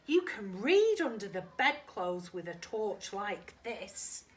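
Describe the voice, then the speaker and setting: A woman voicing an excitable young male tiger in a children's storybook, bold but jumpy, exclaiming dramatically. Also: medium pitch, slow pace. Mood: excited, eager.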